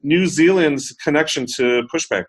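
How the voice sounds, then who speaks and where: A man's voice: a little high, normal speed. A man hosting a podcast interview in a casual, chatty way, with many ums and uhs. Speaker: podcast host.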